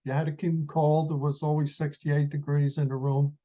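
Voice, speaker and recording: Dark-voiced man in his eighties from the Netherlands. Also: fairly high pitch, level tone, brisk, dull audio. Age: 80s. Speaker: interview guest, retired engineer. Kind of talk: reminiscing in an interview.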